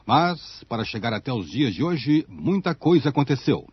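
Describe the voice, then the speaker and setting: A man's voice: mid-range voice, big pitch swings, moderate pace, husky, no echo. A man giving formal, rhetorical scripted narration for a radio documentary. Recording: dull archival shortwave-era radio recording.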